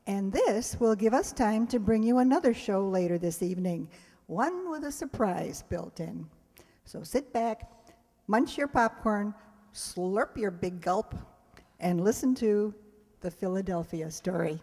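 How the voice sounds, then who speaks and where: A woman host talks chattily to a live audience. Her voice is soft-edged and mellow at a middle pitch, and a long hall reverb surrounds it. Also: expressive, unhurried. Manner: chatty, engages the audience with questions. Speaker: host introducing a radio play to an audience. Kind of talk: live spoken introduction with trivia and audience questions.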